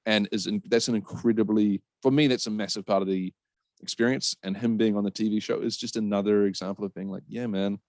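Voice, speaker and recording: A man's voice: lowish voice, steady tone, a little fast, darkish tone, no room sound. A man giving his opinions in casual, emphatic, sweary podcast chat. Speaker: podcast panellist.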